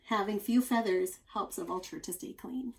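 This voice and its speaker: Expressive woman teacher reading aloud. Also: mid-range voice, moderate pace, gravelly, low-quality recording. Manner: expressive, explanatory, with chatty asides to young listeners. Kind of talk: read-aloud of a children's nonfiction book with asides.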